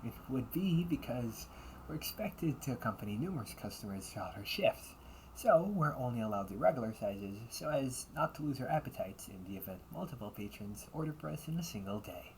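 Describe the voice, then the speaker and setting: A young butler cafe waiter , acted by a man, speaks in formal, deferential service phrases. His pitch moves in lively swings, in the manner of an amateur audio roleplay. There is some noise behind the voice. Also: brisk, lightly acted, rough recording. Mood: courteous, formal. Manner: formal, courteous service talk with muttered asides.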